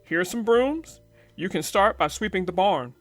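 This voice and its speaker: A woman voicing a gruff, practical older male bear farmer in a children's storybook, talking in a slow, deliberate way. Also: medium pitch, expressive, no echo, faint music behind, voice pushed down. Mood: firm, instructive.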